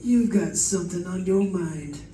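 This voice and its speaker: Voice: medium pitch, measured pace, dark tone, close to an everyday voice. An actor playing a dry, puzzled homicide lieutenant bantering in an old-time radio detective drama. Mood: firm. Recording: echoey, distant mic, faint noise.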